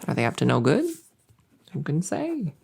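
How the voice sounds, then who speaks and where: Friendly female monster under the bed, played by a woman, silly ASMR roleplay: mellow, low, soft-spoken, playful rambler. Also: huge pitch swings, normal speed, gravelly, husky, exaggerated voice. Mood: musing, playful.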